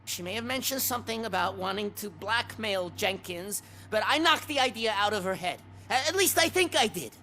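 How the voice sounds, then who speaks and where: A woman's voice: medium pitch, lively intonation, normal speed, few pauses, bright, forceful tone. A woman playing a defensive, cynical gas station keeper in a video game, with a sardonic way of talking. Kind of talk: video game cutscene acting.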